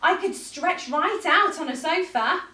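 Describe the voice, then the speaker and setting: Woman doing a high, curious little storybook frog. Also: unhurried, bright, forceful tone, reverberant, recorded from a distance, full character voice. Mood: hopeful. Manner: pleading, eager rising questions. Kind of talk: children's picture book read-aloud.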